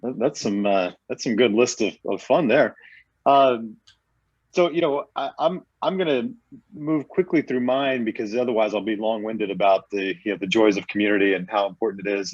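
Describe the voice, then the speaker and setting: A man's voice: on the low side, darkish tone, no echo, dull audio. A man, a community consultant, introducing himself on a panel in a self-deprecating, rambling way.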